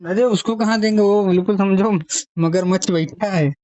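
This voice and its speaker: Guy w high voice n uttar pradesh hindi accent, deferential, chatting on a recorded call. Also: normal speed, mellow, dry recording, muffled recording. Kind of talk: casual phone conversation. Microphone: phone call.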